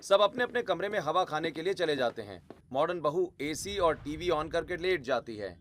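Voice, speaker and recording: A man's voice: on the high side, animated, moderate pace, fairly bright tone, faint music behind. A man giving scripted narration of a family story as the narrator.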